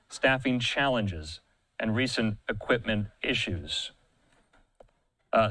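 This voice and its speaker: A man's voice: medium pitch, fairly bright tone, no room sound, rough recording. A man anchoring live breaking news as a news anchor, speaking close to the microphone.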